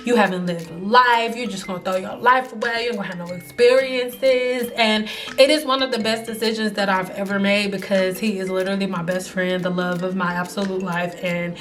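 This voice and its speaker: Animated slangy woman in her thirties. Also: mid-range voice, normal speed, soft background music. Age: 30s. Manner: animated, slangy, acts out quoted voices. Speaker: hairstylist vlogger. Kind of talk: casual storytelling to camera.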